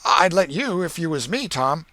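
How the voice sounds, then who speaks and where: An eager country boy from a storybook, performed by a man, wheedles and pleads in homespun speech. The voice is pushed higher with big swings of pitch, gullible and keen, recorded dry. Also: big pitch swings, moderate pace, bright, forceful tone, no echo. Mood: eager pleading. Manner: pleading, colloquial rural speech. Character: eager, wheedling village boy, easily fooled.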